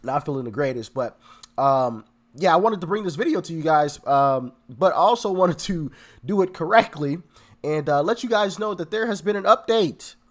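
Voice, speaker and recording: A man with a stuffy, congested voice from a cold, hosting a casual commentary show. His voice sits a bit high and swings up and down a lot as he chats directly to his viewers. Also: expressive, moderate pace. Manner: chatty, addresses viewers directly. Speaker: political commentary host.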